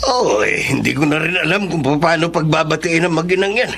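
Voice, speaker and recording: A man playing a weary, concerned older father with a Filipino accent, speaking gravely in a radio drama. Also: a little high, a little fast, fairly bright tone, faint music behind. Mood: worried.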